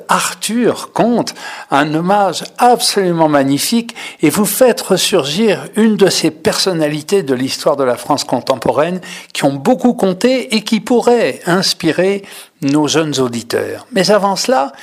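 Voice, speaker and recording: A man interviewing a guest, asking long questions in a measured, formal way. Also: on the high side. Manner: measured, elaborate phrasing. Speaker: radio interviewer.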